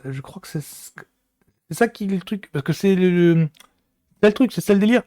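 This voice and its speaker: A man giving a casual solo reaction to a film trailer, thinking aloud with many pauses and restarts. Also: sits high, darkish tone.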